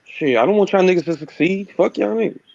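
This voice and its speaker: A man joining an online call as a guest, loud and taunting with profane trash talk. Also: sits high, fast, creaky, slight background noise. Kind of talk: casual chat. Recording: dull, dry lo-fi call audio.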